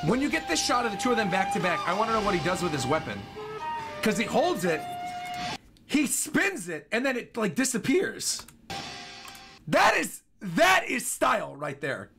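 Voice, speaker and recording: A man's voice: high-pitched, huge pitch swings, normal speed, vocal fry. A young man giving enthusiastic, chatty live reaction commentary as a streamer, often repeating his phrases. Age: young adult. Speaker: streamer reacting to game trailers. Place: small bedroom, indoors. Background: trailer music.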